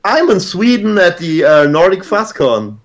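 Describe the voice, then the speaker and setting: A man's voice: sits high, very animated, unhurried. A man co-hosting a podcast, rambling through stories with frequent laughs, talking from a hotel room. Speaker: podcast co-host. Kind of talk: casual chat.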